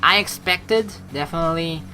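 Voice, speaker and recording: A woman giving casual, excitable gameplay commentary in an informal way, swearing freely. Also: fairly low pitch, normal speed, faint music behind. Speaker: let's play streamer. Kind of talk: casual gameplay commentary, reading game text aloud.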